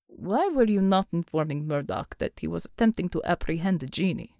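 Woman doing a stern, low muttering Soviet operative. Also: expressive, brisk, warm tone, dry recording, clean studio sound. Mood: muttering, reproachful. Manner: muttered, formal, oddly phrased English.

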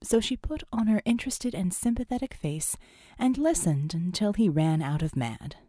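A woman's voice: medium pitch, lively intonation, moderate pace, dark tone, no room sound, clean studio sound. A woman reading scripted fiction expressively as an audiobook narrator.

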